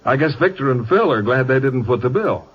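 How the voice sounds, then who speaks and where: A man's voice: mid-range voice, lively intonation, fairly quick, slightly rough. A man working as a radio announcer, delivering scripted sponsor banter in 1950 style. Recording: very noisy, muffled vintage radio broadcast.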